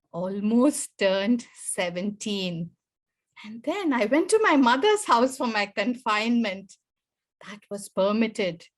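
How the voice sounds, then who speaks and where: Slow, high-voiced older woman storyteller. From India. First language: Tamil. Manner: expressive, with wide swings in pitch and loudness. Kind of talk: personal story performed for an audience.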